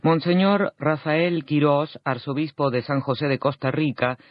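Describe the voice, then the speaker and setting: A man's voice: on the high side, a little slow, dry recording, soft background music. Man reading formal radio news. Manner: formal, even newsreading. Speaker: news reader. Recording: dull, dry radio sound.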